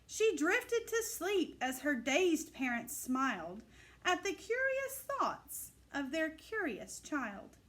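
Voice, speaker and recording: A woman reads a rhyming story for children in a high voice that swings widely in pitch. She takes her time, phrasing each line with clear emphasis and expression. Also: very animated, slow, fairly bright tone. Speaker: storybook reader. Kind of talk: animated read-aloud of a rhyming children's picture book.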